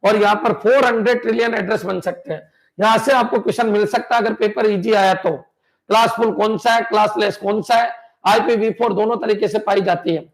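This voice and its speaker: A man with a strikingly high-pitched voice lectures exam students like a coaching-class teacher. He drills each point by repeating it and keeps checking in with his pupils. He pushes hard on what will come up in the exam. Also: steady tone, brisk. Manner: repetitive, drilling, quizzes students with "clear?" and "बच्चों"; emphatic, pushes exam tips and motivation. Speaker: computer science exam coach.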